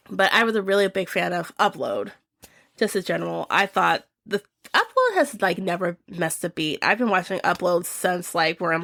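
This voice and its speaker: A woman's voice: fairly low pitch, creaky, sharp tone, no echo, clean studio sound. A young woman chatting casually and enthusiastically as she reviews her favorite shows of the year, with many fillers.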